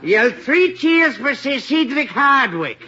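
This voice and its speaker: An actor playing a blustery Irish working man telling boastful tall tales in 1940s radio comedy, with a raspy Irish accent. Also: very high voice, very animated, unhurried, sharp tone, exaggerated voice. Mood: blustery, wry. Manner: colorful, boastful storytelling. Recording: muffled old-time radio recording.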